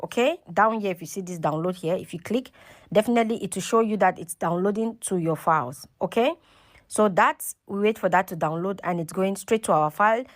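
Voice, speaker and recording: A woman's voice: medium pitch, fairly quick. A woman with a mid-range voice presents a hands-on tutorial in a casual way. She explains one step at a time and keeps checking that listeners follow. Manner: instructional, often checks in with okay and right. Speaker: tutorial host.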